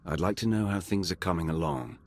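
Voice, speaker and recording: Very deep, husky Sith lord. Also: male voice, brisk. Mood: brisk command. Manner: blunt, imperious. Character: commanding, sardonic male Sith lord and enforcer.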